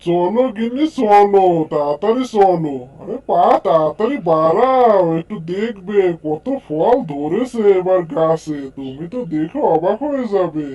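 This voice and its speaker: A boastful, greedy village landlord from a cartoon , acted by a man. He exclaims in a dark, slow voice on a slightly muffled recording. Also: sits high, lively intonation. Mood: eager hurrying. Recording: dull lo-fi sound.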